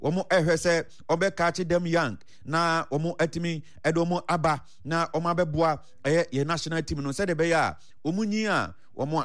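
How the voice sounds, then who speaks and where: Male sports news presenter, Ghanaian accent, voice on the high side. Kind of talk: sports news report with interview clips.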